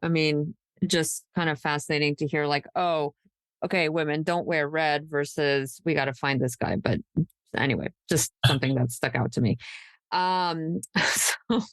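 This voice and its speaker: A woman's voice: deep voice, moderate pace, no room sound. A woman podcast co-host telling a true crime story conversationally, in an informal, somewhat hesitant way.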